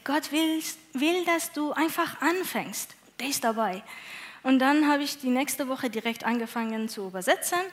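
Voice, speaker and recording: A young woman from Iran with a Persian accent, a native Persian speaker, giving an earnest, halting testimony on a church stage with many fillers. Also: high voice. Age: young adult. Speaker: church moderator and interpreter.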